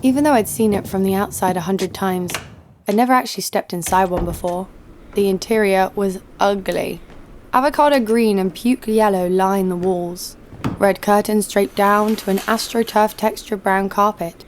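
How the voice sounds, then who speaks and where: A woman playing a jaded, sardonic killer who narrates her own story in a deadpan way for an audio drama. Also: medium pitch, normal speed, a little hiss or hum, natural voice. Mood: wry. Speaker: narrator and lead actor. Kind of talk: first-person scripted narration.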